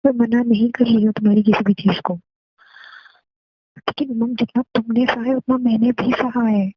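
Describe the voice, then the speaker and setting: A woman's voice: fairly high pitch, fairly even intonation, moderate pace, breathy edge, dry recording. A young Indian woman in an emotional phone conversation with an ex, pleading and apologetic. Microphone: phone call. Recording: muffled lo-fi phone call recording.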